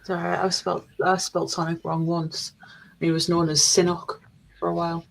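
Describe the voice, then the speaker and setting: Gravelly low woman chatting playfully. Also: flat delivery, moderate pace, rough recording. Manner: playful, teasing. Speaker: panel guest. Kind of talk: casual chat on a video call.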